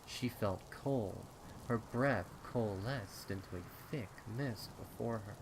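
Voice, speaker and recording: Low slow guy reading a creepy story, dramatic and ominous, rain behind him. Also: background noise. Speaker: fan fiction narrator. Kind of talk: dramatic horror story narration. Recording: rain and storm ambience behind.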